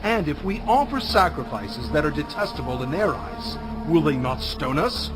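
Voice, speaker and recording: An actor playing an earnest, resolute Hebrew prophet, declaiming in a dramatized audio Bible. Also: male voice, on the high side, sing-song, normal speed, music in the background, low-quality recording.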